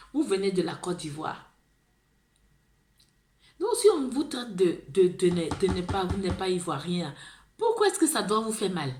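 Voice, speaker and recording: Pleading Ivorian French woman, echoey room. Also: medium pitch. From Ivory Coast. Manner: pleading, repeats herself, stammering restarts. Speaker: video blogger. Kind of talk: impassioned monologue to the camera.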